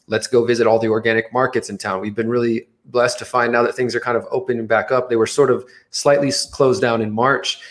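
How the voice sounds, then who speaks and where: A man giving informal, loosely rambling answers as an interview guest. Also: mid-range voice.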